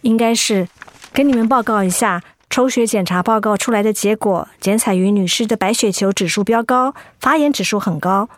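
An actress playing a calm, professional hospital doctor in a radio drama, measured and reassuring. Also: female voice, mid-range voice, natural voice. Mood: clinical.

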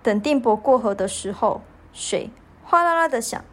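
A woman's voice: a little high, lively intonation, normal speed, heavy background noise. A teenage girl narrating a scripted story for a student radio drama.

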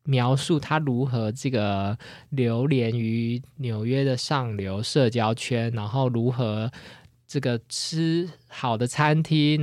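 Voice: medium pitch, no echo, studio quality. Joking podcast host with Taiwanese Mandarin accent. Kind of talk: casual chat and storytelling.

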